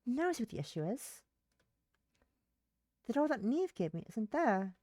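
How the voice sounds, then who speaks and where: A woman's voice: on the high side, big pitch swings, moderate pace, mellow, no room sound, studio quality. A woman livestreamer reading a visual novel's narration aloud, stumbling over words and rereading phrases, with brief casual asides.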